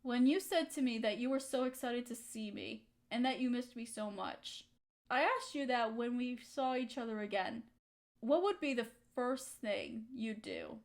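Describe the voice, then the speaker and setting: A woman acting a hurt young woman softening toward her best friend, in an intimate roleplay audio drama, emotional and halting. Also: fairly high pitch, close to an everyday voice. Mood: wounded, probing.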